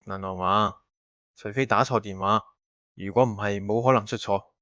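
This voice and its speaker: A man's voice: on the low side, fairly even intonation, moderate pace, fairly bright tone, no echo, clean studio sound, natural voice. A man acting a haunted, bitter fugitive in an audio drama, with a brooding delivery. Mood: troubled. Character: haunted, bitter man hiding for thirty years, resigned.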